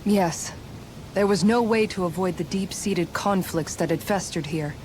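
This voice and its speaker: A woman's voice: fairly low pitch, measured pace, a little mellow, slight background noise, lightly acted, rough recording. A woman playing a principled, protective young heroine in a video game, explaining things earnestly. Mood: concerned. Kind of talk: video game cutscene acting.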